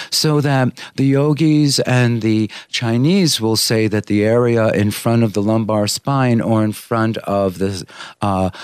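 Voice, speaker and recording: A man whose first language is Greek, a yoga teacher talking at length in an interview about anatomy and yoga with a measured, explanatory manner. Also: medium pitch, no room sound, clean studio sound. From Greek family. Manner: measured, explanatory, with many uh fillers.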